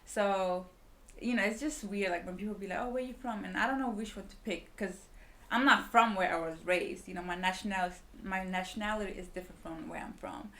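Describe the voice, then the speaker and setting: A woman's voice: medium pitch, brisk, sharp tone, a little hiss or hum. A woman in her twenties with a Swedish accent, chatting casually as a podcast guest, rambling with lots of fillers. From Liberia, raised in Sweden. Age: 20s. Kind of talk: casual chat.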